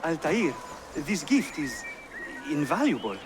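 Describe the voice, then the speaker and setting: A man's voice: fairly high pitch, very animated, slow pace. An actor playing a genial, respectful Venetian traveler and father in a video game. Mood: grateful, genial. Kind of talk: dramatic video game acting. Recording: clear outdoor ambient noise.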